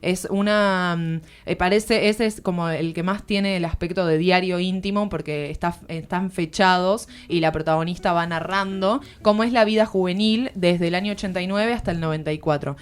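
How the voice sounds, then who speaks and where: Argentine woman doing a radio book column, lowish smooth voice, chatty but earnest, explains stuff as she goes. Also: normal speed, hardly any pauses. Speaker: literary columnist on a radio show. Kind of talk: conversational book review on radio. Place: radio studio.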